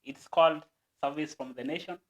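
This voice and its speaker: A man's voice: on the high side, steady tone, brisk, muffled recording. A Kenyan man, a guest, explaining a process earnestly and at length, with stammered restarts.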